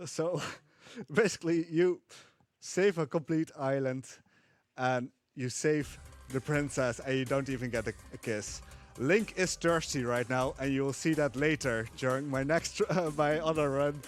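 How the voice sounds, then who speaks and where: A man explaining his speedrun live in a relaxed, chuckling way, with a casual tone. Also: fairly high pitch. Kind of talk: casual live gameplay commentary.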